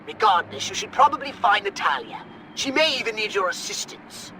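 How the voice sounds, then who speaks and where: An actor playing a haughty, unflappable alien commander in a video game, pompous, theatrical and condescending. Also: male voice, sits high, big pitch swings, fairly quick, noisy background. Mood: bossy. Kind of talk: video game cutscene acting.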